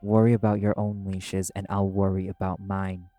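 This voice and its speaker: Man playing a low, gruff, brash wrath demon. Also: little pitch movement, normal speed, dark tone, no echo, close to an everyday voice. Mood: curt, guarded. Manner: curt, gruff, cool-headed. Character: brash, guarded, tough male wrath demon. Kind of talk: dramatic audio roleplay acting. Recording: music under the voice. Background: music.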